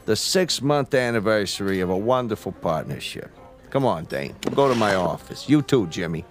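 A man acts out an American mob boss for a radio play. The voice is low and swings freely in pitch, slick and slangy. He sounds falsely genial, with cold menace under it, over faint music. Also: animated, normal speed, close to an everyday voice. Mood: genial, commanding. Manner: slangy, smooth-talking tough-guy delivery. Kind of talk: radio drama acting.

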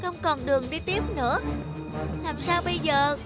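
A woman's voice: sits high, moderate pace, music in the background, voice pushed up, close to an everyday voice. A woman voicing a small, brave, anxious young raindrop boy in a cartoon, earnest and exclamatory. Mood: frightened, doubtful.

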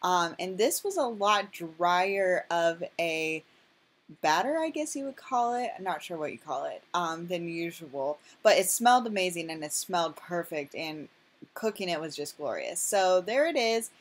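Chatty woman with a low voice. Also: lively intonation, a little slow. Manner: chatty, self-deprecating, rambling with fillers. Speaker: vlogger. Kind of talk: casual cooking voice-over.

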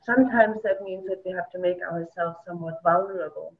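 A woman of about fifty-eight with a German accent, speaking slowly and hesitantly, with many pauses, as she recounts her path into community work over a video call from a home office. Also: on the low side. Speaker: award-winning community practitioner. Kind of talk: reflective conversational talk. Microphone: laptop mic on a video call. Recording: tinny distant video-call audio.

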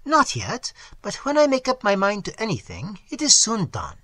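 A reader voicing a vain, self-important French artist man with a French accent, lecturing in a husky voice. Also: mid-range voice, wildly swooping pitch, normal speed, sharp tone, no echo, pitched above the performer's own voice, exaggerated voice. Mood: boastful.

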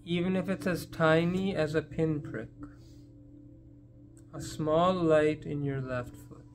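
A man leading a guided meditation in a gentle, unhurried manner, leaving long pauses between phrases. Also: on the high side, fairly even intonation, faint music behind.

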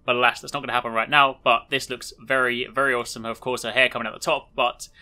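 A man's voice: mid-range voice, little pitch movement, fast, bright, forceful tone. A man hosting a gaming video, giving chatty, informal, enthusiastic showcase commentary.

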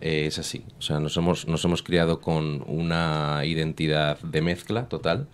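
Deep-voiced Spanish man, relaxed. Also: moderate pace, clear, resonant tone. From Spain. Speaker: rap artist guest. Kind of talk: interview answers. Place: radio studio. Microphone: studio microphone.

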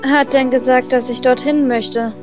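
A woman voicing a taciturn, aloof young woman in a visual novel, terse and measured. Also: sits high, fairly even intonation, over music, lightly acted. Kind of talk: visual novel dubbing read aloud.

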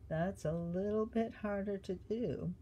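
Older female children's reader, mellow and husky, teacherly, conversational asides to kids. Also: medium pitch, unhurried. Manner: gentle teacherly questioning, addressing children directly. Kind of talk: read-aloud to young children with chatty asides.

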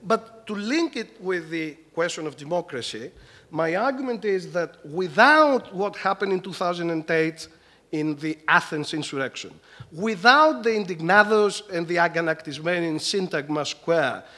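A man's voice: high-pitched, expressive, normal speed, pushed and bright. An older man from Greece, giving an emphatic lecturing talk as a conference panelist at a table mic. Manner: emphatic, lecturing, gestures a lot. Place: large conference hall. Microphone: table mic on stand.

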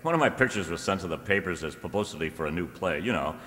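An older man plays a suave, faintly sinister husband in an old-time radio play. His deep voice is bright and swings theatrically, ringing in a hall. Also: quick pace. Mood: amused. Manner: animated, theatrical, coaxing. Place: stage in a hall, live reading. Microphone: stand microphone. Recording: echoey hall stage performance.

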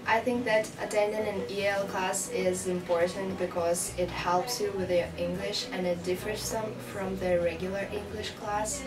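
A teenage student girl from Ukraine, speaking carefully with pauses in an interview. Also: mid-range voice, level tone. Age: teens. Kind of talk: interview testimony. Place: school office, seated. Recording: echoey room from far off. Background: loud background music.

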